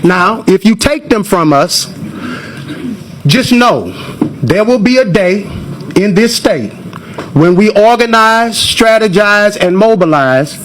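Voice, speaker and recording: A man's voice: sits high, lively intonation, slow, fairly bright tone, slight background noise. A forty-year-old American man giving impassioned public testimony to a committee in an emphatic, confrontational, oratorical manner. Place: government committee hearing room. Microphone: hearing microphone.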